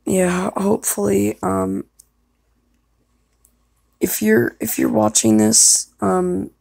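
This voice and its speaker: A woman's voice: medium pitch, sing-song, slow pace, gravelly, a little mellow, dry recording. A young woman chatting casually and self-consciously, hesitant and apologetic as she records herself. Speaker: amateur voice actor recording an audition.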